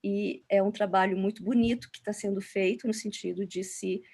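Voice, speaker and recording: A woman's voice: mid-range voice, steady tone, normal speed, a little mellow. Brazilian woman moderating an online academic talk, kinda hesitant, picking her words as she goes. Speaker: moderator introducing a speaker. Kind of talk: academic introduction, unscripted. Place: online video call.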